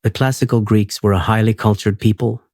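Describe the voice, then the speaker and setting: A man hosting a sleep podcast, reading a script in a steady, unhurried, soothing way. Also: on the low side, no echo, studio quality.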